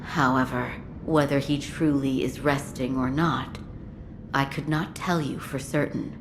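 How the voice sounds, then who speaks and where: A woman playing a calm, observant retainer in a video game, measured, reserved and courteous. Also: a bass voice, noisy background. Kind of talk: dramatic video game dialogue.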